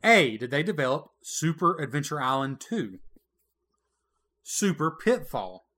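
A man's voice: fairly high pitch, big pitch swings, measured pace, sharp tone. A man co-hosting a casual gaming podcast, running a trivia quiz in a playful, teasing way and laughing often. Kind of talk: casual retro gaming chat.

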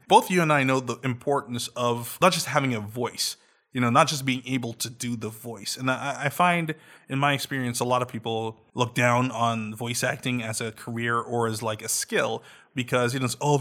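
Guy hosting a podcast, fired up, regular pitch. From Trinidad and Tobago. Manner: animated, enthusiastic. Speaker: podcast host. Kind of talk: casual chat.

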